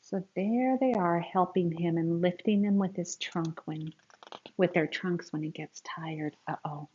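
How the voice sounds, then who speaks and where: A woman with a slightly low voice reads a children's picture book aloud at an unhurried pace. She is expressive and teacherly, addressing her young listeners directly. The recording is a little dull and lo-fi. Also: a little hiss or hum. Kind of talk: read-aloud storytelling with asides to young listeners.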